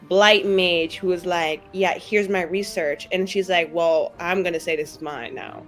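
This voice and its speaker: A woman's voice: lowish voice, fairly even intonation, unhurried, faint music behind. A woman playing a tabletop roleplaying game, improvising in casual chat with a joking, sarcastic manner.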